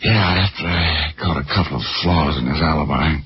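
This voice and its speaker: A man's voice: very deep voice, moderate pace, a little hiss or hum. A man playing a wry, cocky private detective in 1940s radio drama style, with an offhand, hesitant delivery. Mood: woozy, determined. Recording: muffled 1940s radio broadcast. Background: music.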